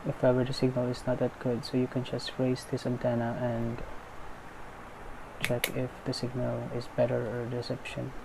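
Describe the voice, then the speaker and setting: A man's voice: mid-range voice, monotone, normal speed. A man giving casual, unscripted unboxing commentary, thinking aloud in a tentative way. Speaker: unboxing video maker. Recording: clearly noisy background.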